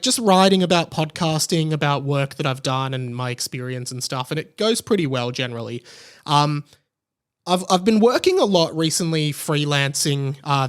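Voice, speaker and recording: A man's voice: medium pitch, clean tone. An Australian man hosting a solo podcast in a casual, rambling way, often interrupting himself and drifting into tangents. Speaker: podcast host.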